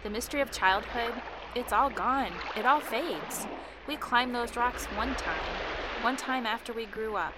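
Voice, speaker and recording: A woman's voice: fairly high pitch, measured pace, very noisy, natural voice. A woman playing a dead wife of forty returned as a memory in an audio drama, nostalgic and reproachful, with pointed questioning. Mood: nostalgic, matter-of-fact.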